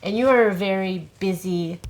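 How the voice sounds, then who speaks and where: A woman's voice: mid-range voice, normal speed, slight background noise, poor audio. A woman interviewer asking questions and offering encouraging short responses in a community TV interview.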